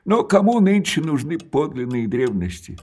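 An actor playing an elderly, widowed male junk-shop owner in a radio drama. He talks slowly and hesitantly, and his sentences trail off softly between pauses. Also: a little high, very animated. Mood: wistful. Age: older.

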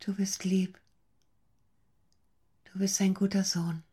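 Husky, fragile older mother. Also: mid-range voice, flat delivery, takes it slowly, warm tone. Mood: tender, frail. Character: paranoid, fragile mother of a grown son, plaintive. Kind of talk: German radio play acting.

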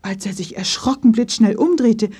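A woman's voice: a little high, normal speed, warm tone, slightly rough. A woman narrating a scripted children's story.

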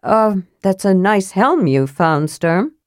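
A woman's voice: mid-range voice, very animated, slow, no echo, studio quality. A woman voicing a big, simple-hearted young male warrior who talks earnestly and eagerly to please. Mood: relieved. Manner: pleading, earnest. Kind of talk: audiobook character voice.

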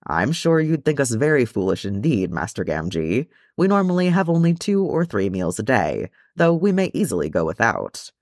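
Man playing a hearty, musing dwarf with animated pitch. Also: no room sound, studio quality. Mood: good-humoured. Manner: formal, measured old-fashioned phrasing. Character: hearty, good-humoured male dwarf, proud and musing. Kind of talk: storybook character reading.

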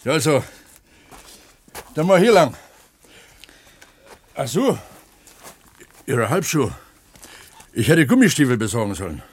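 An actor playing an eager, earnest pump attendant at an opencast mine in a naturalistic radio drama, explaining things like a tour guide. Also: male voice, medium pitch, very animated, takes it slowly, pauses often, slightly rough, exaggerated voice. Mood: eager, considerate.

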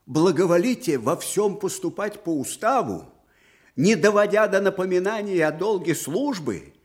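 An actor playing a proud old Russian field marshal, fiery and sardonic, in theatrical, emphatic radio drama style. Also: male voice, high-pitched, expressive, normal speed. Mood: bitter, wounded. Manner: theatrical, emphatic, with repeated phrases. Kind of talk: Soviet-era radio drama acting.

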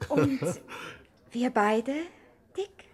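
An actress playing a crook's devoted sweetheart in a comic 1960s radio play, speaking in a tender, coaxing way. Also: female voice, sits high, lively intonation, extremely slow, darkish tone, husky, exaggerated voice.

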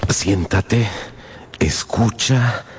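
An actor playing an eager, commanding professor uncle in a radio drama, husky and imperious. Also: male voice, lowish voice, drawn-out words, noisy background. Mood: secretive, solemn.

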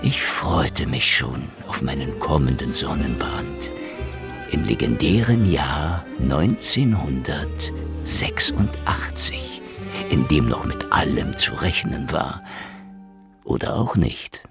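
A hardboiled Soviet investigator in a radio play, cynical and deadpan. His voice is extremely deep and thick with gravel, and he narrates his thoughts unhurriedly over music. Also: takes it slowly, exaggerated voice. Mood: sarcastic, dreamy. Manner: sardonic, deadpan. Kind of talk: scripted noir radio drama narration.

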